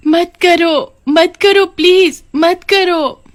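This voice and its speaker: A woman voicing a shy, lonely young schoolgirl with a high, pleading voice. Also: steady tone, extremely slow, no room sound, clean studio sound, full character voice.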